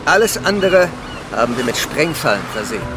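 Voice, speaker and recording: An actor playing a controlling, devout island survivor who explains things in a measured, insinuating way in a horror radio drama. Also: male voice, on the high side, very animated, music in the background. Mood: explaining, grave. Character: controlling male island survivor, smooth-talking, devout guide.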